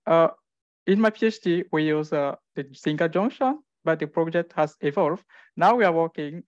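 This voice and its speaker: A young man from Cameroon introducing himself and his research informally, haltingly and with repeated words. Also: fairly high pitch, a little mellow, dry recording. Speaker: physics research fellow introducing themself. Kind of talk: informal self-introduction at an online event. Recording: dull, lo-fi call audio.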